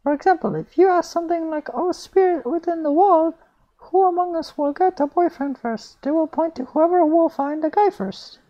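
A man voicing a chatty young female art student in a thin falsetto, reading a visual novel aloud. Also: moderate pace, warm tone, dull audio. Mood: explaining.

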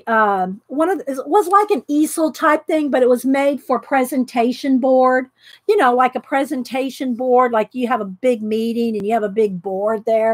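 A middle-aged woman hosting a casual craft chat from her home craft room, talking loudly and laughing often. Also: sits high, no echo. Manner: loud, chatty, laughs often. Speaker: craft video host. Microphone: overhead camera pointing down at desk.

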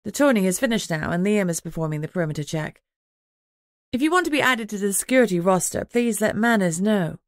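A woman voicing a wry, bossy young female alpha werewolf in an audiobook, assertive and expressive. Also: medium pitch, brisk, no echo, studio quality, close to an everyday voice. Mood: curt, firm.

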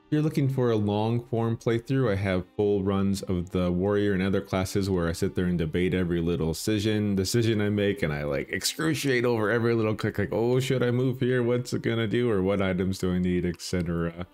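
A man casually commenting on his own game run, chatty and self-deprecating, thinking aloud as he plays, with a bassy morning voice. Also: a little fast, few pauses, a little mellow. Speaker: gaming channel host.

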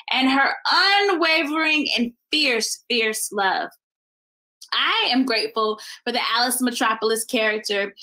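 A middle-aged American woman giving a prepared thank-you speech in deliberate, measured phrases. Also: high voice, pushed and bright, rough recording. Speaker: artist giving thanks at an exhibition opening. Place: indoor room at home, video recording.

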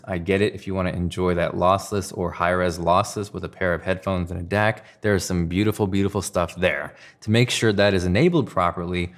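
A man in his thirties presenting a tech review to camera with an enthusiastic, emphatic delivery. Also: low voice. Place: indoor studio room at a desk.

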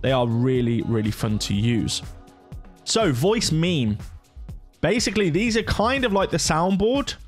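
Chatty man giving a casual software walkthrough. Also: a little high, big pitch swings, a little slow, faint music behind. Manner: chatty, informal. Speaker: gaming content creator presenting software. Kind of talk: casual tutorial commentary.

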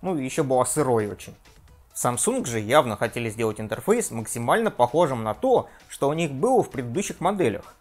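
A man in his thirties hosting a scripted tech review vlog from a room at home, lively and self-mocking. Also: medium pitch, a little fast, soft background music. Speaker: tech video host. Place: home room with desk and gear.

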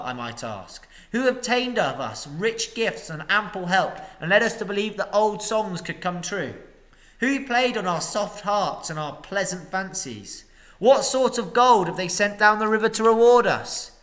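A man voicing a pompous, self-serving older town master who makes loud, indignant speeches. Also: sits high, expressive, normal speed, voice pushed up.

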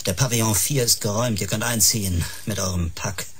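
A man's voice: lowish voice, a little fast, slightly rough. An actor playing a bitter, outraged man in a sci-fi radio drama, accusing and agitated. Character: bitter, outraged man confronting his oppressors.

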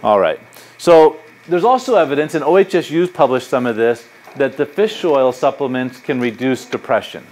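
A middle-aged man speaks at a medium pitch as he lectures to a room of listeners. His delivery is animated and conversational, full of asides and anecdotes, and his voice carries the sound of a university lecture hall. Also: normal speed. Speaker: psychology professor. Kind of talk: public lecture with audience questions.

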